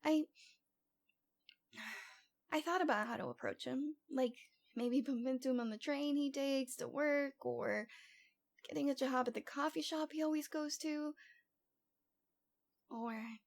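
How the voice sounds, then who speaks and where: A woman's voice: sits high, unhurried, gravelly, long pauses. A woman playing a cute, lovesick young stalker girl in an ASMR roleplay, with a coy, pouty, dramatic delivery. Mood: flustered, lovesick.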